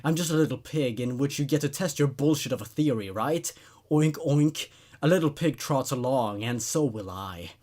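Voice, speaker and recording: A man's voice: mid-range voice, expressive, moderate pace, a little mellow, close to an everyday voice. A man voicing a tormented, unhinged male patient in a horror story, intense, strained and accusatory. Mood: menacing, mocking.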